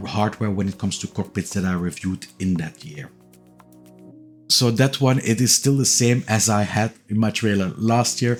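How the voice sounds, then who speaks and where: Man in his 40s, Dutch accent, fairly low voice, relaxed and hesitant, faint music underneath. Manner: relaxed, hesitant, many "uh" and "yeah" fillers and repeated words. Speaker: YouTube reviewer of sim racing gear. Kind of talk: casual talking-head channel intro. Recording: faint music bed behind the voice.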